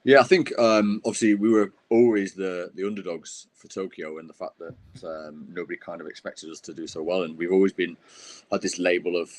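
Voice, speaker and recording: Very low-voiced man, halting speech. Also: fairly even intonation. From United Kingdom. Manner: halting, many fillers and restarts. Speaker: guest, wheelchair rugby player. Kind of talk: podcast interview answers.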